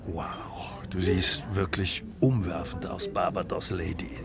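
An actor playing a smitten, eager suitor, gushing and flirtatious, in a radio drama. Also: male voice, low voice, little pitch movement, takes it slowly, gravelly, exaggerated voice. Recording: music behind the voice. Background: music.

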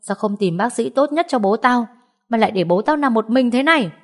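A woman voicing a rude, grasping middle-aged aunt who wails and scolds loudly in an audio story. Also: mid-range voice, lively intonation, fairly quick, natural voice. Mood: scornful. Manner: wailing, scolding, loud.

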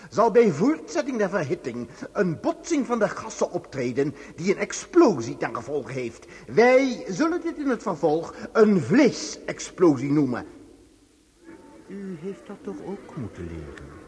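An actor playing an officious, erratic inspector of lost souls in an absurdist radio play, lurching between soothing and bullying. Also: male voice, high voice, wildly swooping pitch, measured pace, darkish tone, husky, full character voice. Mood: agitated lecturing.

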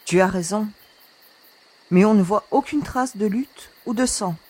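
A woman acts out a young space expedition leader in a science-fiction audio drama. Her voice sits at a middle pitch. She is commanding and sharp-tongued, with anxiety underneath. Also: a little slow, lightly acted. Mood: businesslike. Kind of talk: French sci-fi audio drama acting.

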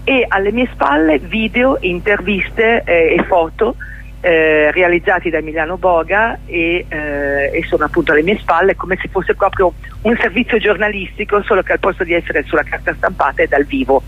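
A middle-aged woman with a husky voice, explaining her own work as a guest in a conversational radio interview over the telephone. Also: on the low side, moderate pace. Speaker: interview guest, journalist and stage performer. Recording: dull telephone line to a radio studio.

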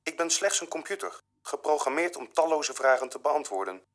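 An actor playing a courteous, literal-minded computer operator in a radio drama, formal and matter-of-fact. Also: male voice, fairly high pitch, expressive, a little fast, fairly bright tone. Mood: formal, impassive.

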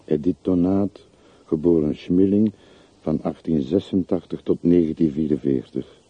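An actor in a radio drama playing a poor, sober, deferential man with a measured, matter-of-fact way of speaking. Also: low voice, breathy edge, no room sound. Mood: solemn, measured. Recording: muffled, narrow-band old radio play recording.

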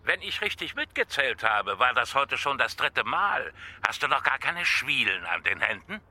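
An actor playing a mocking anonymous phone caller who taunts and pries in a radio play. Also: male voice, medium pitch, big pitch swings, moderate pace, pushed and bright, no room sound, full character voice. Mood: mocking, menacing. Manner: taunting, sneering.

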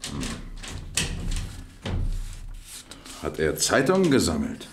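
Police captain, low voice, lively intonation, curt, probing, composed, radio crime play. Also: male voice, fairly quick, background noise. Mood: attentive. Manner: probing, curt, official questioning. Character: methodical police captain investigating a fatal accident, probing, composed. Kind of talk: German radio crime drama acting.

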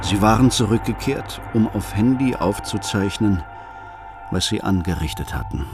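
An actor narrating a radio play as a troubled father, speaking in a calm, reflective, confessional way. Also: fairly low pitch, moderate pace, over music, close to an everyday voice. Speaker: first-person narrator.

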